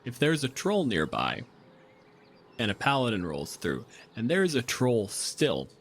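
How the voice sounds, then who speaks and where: A man's voice: mid-range voice, huge pitch swings, unhurried, husky. A man hosting as game master, telling the story conversationally with dramatic emphasis as he improvises. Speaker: game master and show host. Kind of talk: improvised tabletop game narration.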